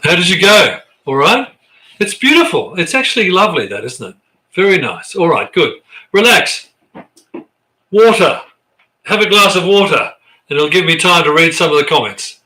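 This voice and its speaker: A man's voice: a little high, expressive, moderate pace, sharp tone, poor audio. An Australian man leading a live online choir rehearsal, chatting on a sensitive computer mic as he reads viewers' comments aloud with lots of restarts. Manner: chatty, rambling, with frequent restarts and fillers. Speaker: choir director. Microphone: sensitive computer microphone.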